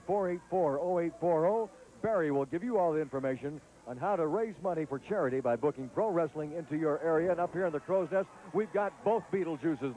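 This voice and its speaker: A man's voice: on the high side, darkish tone, poor audio. A male wrestling TV host, commentating ringside and hard-selling upcoming events. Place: arena commentary booth.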